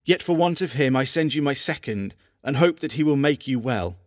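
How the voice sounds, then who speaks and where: An actor playing an earnest, lovesick English king, reading love letters aloud in a measured, formal, archaic manner. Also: mid-range voice, lively intonation, dry recording, studio quality, lightly acted. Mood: hopeful, devoted. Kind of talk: dramatised reading of historical letters.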